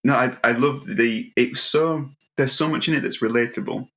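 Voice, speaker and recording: A man hosting a casual interview, haltingly working his way through long questions with repeated words. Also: mid-range voice, a bit bright. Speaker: interviewer, host.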